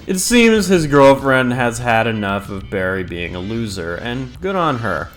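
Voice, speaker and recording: A man's voice: mid-range voice, big pitch swings, unhurried, faint music behind. A man giving a casual, scripted-sounding video review, blunt and sarcastic, with plenty of swearing, as the host of a countdown.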